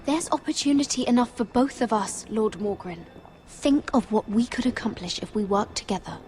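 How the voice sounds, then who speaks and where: An actress playing a clever, determined young handmaiden in a story game, speaking calmly and firmly. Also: female voice, fairly high pitch, normal speed, faint music behind, natural voice. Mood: persuasive. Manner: calm, firm, persuasive. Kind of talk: video game voice acting.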